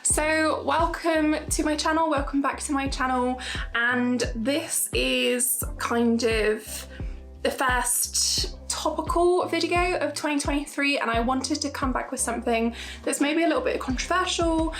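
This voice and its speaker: A woman's voice: a little slow, fairly bright tone, soft background music. A young woman in her twenties talking straight to camera in a casual vlog, earnest and emphatic, repeating her points for stress. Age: 20s. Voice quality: high-pitched voice. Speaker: YouTuber.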